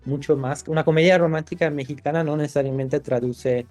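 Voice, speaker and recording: Thoughtful Italian-born man with a mellow voice. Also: a little high, steady tone, brisk, faint music behind. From Italy. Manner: thoughtful, many restarts and fillers. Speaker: screenwriter guest. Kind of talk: podcast interview.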